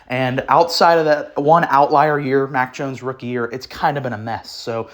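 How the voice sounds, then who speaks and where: A man's voice: mid-range voice, fairly even intonation, moderate pace, a bit bright. Sick man ranting about football. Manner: opinionated, rambling with ums and throat clearing. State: sick, voice sounds off. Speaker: sports channel host. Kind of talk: casual opinion talk on football.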